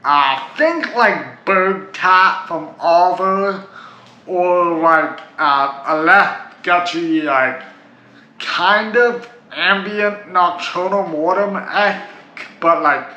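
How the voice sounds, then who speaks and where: A man's voice: high-pitched, drawn-out words, bright, forceful tone. A Canadian man reviewing albums in a casual, rambling way, full of "like" and "uh". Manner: rambling, chatty, restarts with "like" and "uh". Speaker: music reviewer. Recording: dull lo-fi sound in an echoey room with faint noise.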